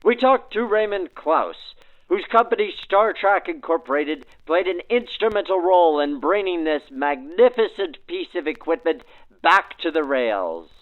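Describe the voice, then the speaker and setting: A man with a high, swooping voice delivers formal scripted narration like a 1940s radio announcer. The sound is narrowed by a vintage radio filter. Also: animated, moderate pace. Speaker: narrator and host. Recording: band-limited 1940s-style radio sound.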